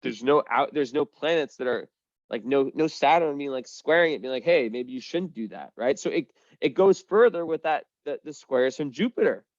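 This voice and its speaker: A man's voice: medium pitch, lively intonation, moderate pace, no room sound, lo-fi sound. A young man giving a casual astrology reading, rambling with lots of fillers, restarts and the odd swear. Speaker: astrology reader. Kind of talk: casual one-on-one chart reading.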